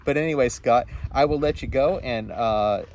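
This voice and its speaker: A man's voice: medium pitch, moderate pace, a little mellow, a little hiss or hum. A man talking as an amateur radio operator in a casual two-way chat, with a rambling, appreciative, friendly manner.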